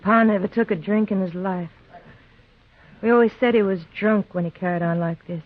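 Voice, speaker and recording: A woman's voice: medium pitch, moderate pace, natural voice. An American actress playing a resigned, sorrowful young homestead woman with rustic speech in a 1950s radio drama. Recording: thin, phone-like vintage radio broadcast.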